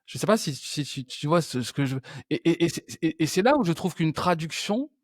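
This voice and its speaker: A man's voice: fairly high pitch, animated, brisk, no room sound. A man hosting a conversational interview, thinking aloud and circling back with frequent restarts. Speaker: podcast host.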